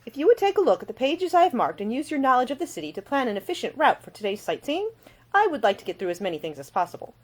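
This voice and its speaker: A woman plays a young lady of twenty-three in an audiobook. She is prim, brisk and curious, speaking in precise, formal sentences. Her pitch moves with a lot of animation. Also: big pitch swings. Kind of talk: audiobook character dialogue.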